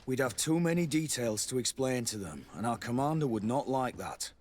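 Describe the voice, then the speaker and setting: An actor playing a worldly, chatty army quartermaster in a video game, a man with a husky voice. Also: medium pitch, animated, moderate pace, a little mellow, a little hiss or hum, lightly acted. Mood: matter-of-fact. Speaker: military quartermaster.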